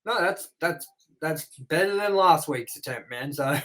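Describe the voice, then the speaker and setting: A man's voice: on the high side, lively intonation, unhurried, fairly bright tone. A man co-hosting a casual movie review chat, rambling with lots of fillers and "you know". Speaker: co-host.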